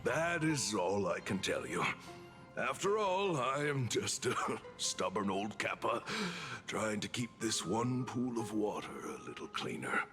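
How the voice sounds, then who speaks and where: An actor voicing a stubborn but kindly old kappa in a video game, speaking in a measured, cryptic, formal way. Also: male voice, fairly low pitch, big pitch swings, music in the background. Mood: calm, wry. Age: older. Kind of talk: video game character acting.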